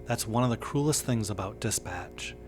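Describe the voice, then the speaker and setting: A man reading a scripted first-person horror story in a measured, understated way. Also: on the low side, music in the background. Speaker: narrator.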